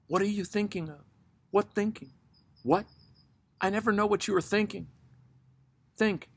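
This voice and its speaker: A man's voice: sits high, gravelly, breathy edge, voice pushed up, exaggerated voice. A man voicing an anxious, demanding, nervy woman in a poetry recitation, pleading with urgent, repeated questions.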